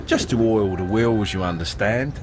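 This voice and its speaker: An actor playing a terse, canny British commando lieutenant in a radio drama, clipped and businesslike. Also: male voice, lowish voice, moderate pace, background noise, close to an everyday voice. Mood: businesslike, sly.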